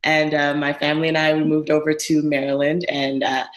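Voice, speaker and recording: A young woman from Ghana, a guest business owner telling her story in a relaxed, friendly way, laughing easily. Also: low-pitched, brisk. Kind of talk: casual interview conversation. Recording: echoey room sound.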